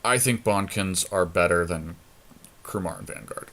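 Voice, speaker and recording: Male podcast co-host, lower register, casual and deliberative talk about game strategy. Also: moderate pace, fairly bright tone.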